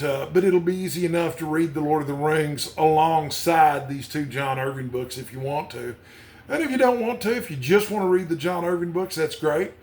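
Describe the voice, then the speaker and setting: A man hosting a book channel, talking casually and conversationally without a script, in a rambling way. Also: on the high side. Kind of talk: casual unscripted book vlog.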